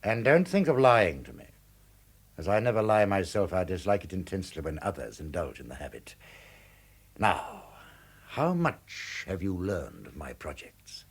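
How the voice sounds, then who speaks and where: An actor playing a ruthless, pompous master criminal in a radio drama, speaking formally and with menace. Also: male voice, low voice, big pitch swings, moderate pace, husky, full character voice. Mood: menacing, controlled.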